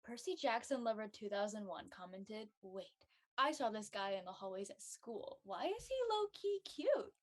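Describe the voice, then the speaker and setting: A woman voicing a frank, teasing teenage high school friend in a comedy drama. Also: lively intonation, moderate pace, natural voice. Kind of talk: comedic scripted audio drama dialogue.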